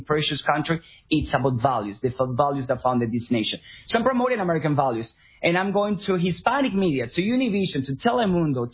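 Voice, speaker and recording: A man's voice: fairly high pitch, slightly rough. A man from Venezuela, speaking earnestly as a guest on a radio talk show. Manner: earnest, patriotic, with frequent uh fillers. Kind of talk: radio interview. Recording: dull lo-fi radio sound.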